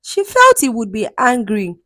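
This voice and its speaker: A woman narrating a folktale in an expressive, dramatic storytelling manner. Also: on the high side, unhurried, no room sound.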